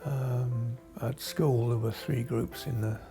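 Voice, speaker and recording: A male-sounding speaker in their sixties giving halting, measured personal testimony in an interview, with many pauses. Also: medium pitch, fairly even intonation, gravelly, music in the background. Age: 60s.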